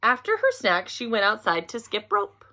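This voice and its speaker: A woman reading a picture book aloud to children in an expressive storytelling style. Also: mid-range voice, normal speed.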